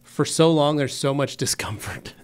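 A man's voice: fairly high pitch, moderate pace. A man in his thirties co-hosting a studio podcast, easygoing, often chiming in with short agreements. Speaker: podcast co-host. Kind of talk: casual chat. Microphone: desk broadcast mic.

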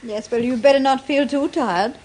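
An actress playing an inquisitive, teasing wife of a detective in a radio crime drama. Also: female voice, high voice, normal speed, a little mellow. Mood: teasing, puzzled.

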